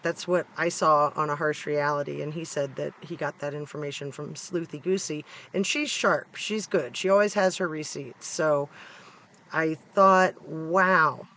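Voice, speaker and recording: A middle-aged American man with a hoarse, congested voice, giving casual, opinionated commentary to viewers in an informal, emphatic way. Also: a little high, fairly even intonation, unhurried, pushed and bright. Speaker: true-crime vlogger. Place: inside a parked car.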